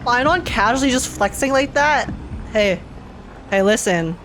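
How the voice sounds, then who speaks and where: A woman gaming streamer giving casual, slangy, excitable reaction commentary, often repeating phrases for emphasis. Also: a little high, measured pace, slight background noise.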